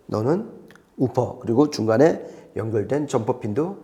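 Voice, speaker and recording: A man's voice: medium pitch, expressive, moderate pace, warm tone. A man speaking as an audio expert, explaining things conversationally and step by step, often repeating points for clarity. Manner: didactic, explains step by step with repetition.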